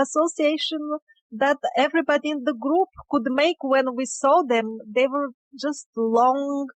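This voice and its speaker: A woman's voice: sits high, no echo, low-quality recording. A woman interview guest explaining her field findings conversationally, with emphatic but hesitant phrasing. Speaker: interview guest, independent researcher.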